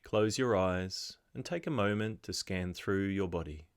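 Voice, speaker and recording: A man slowly guiding a body scan meditation in a soft, calm voice. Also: low-pitched, smooth, no room sound, studio quality. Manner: slow, soft, calm instructions. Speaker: meditation guide. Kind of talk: guided meditation.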